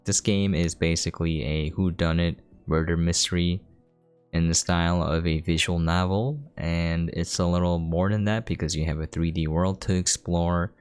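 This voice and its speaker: A man's voice: low-pitched, steady tone, moderate pace, a little mellow, clean tone. A man giving casual video game commentary in an informal, conversational, explanatory way. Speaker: gameplay streamer, commentator. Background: faint game music.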